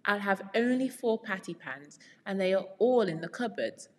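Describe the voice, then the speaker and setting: A woman's voice: medium pitch, lively intonation, normal speed, natural voice. A woman voicing a prim, firm female cat hostess in a storybook, polite but severe. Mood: disapproving. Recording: very reverberant room.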